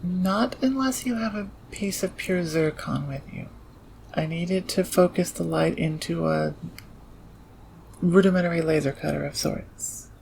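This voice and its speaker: An actor as a gravelly, awkward engineer thief. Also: mid-range voice, measured pace, a little mellow. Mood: dry, pensive. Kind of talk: audio roleplay acting. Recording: clear background ambience noise.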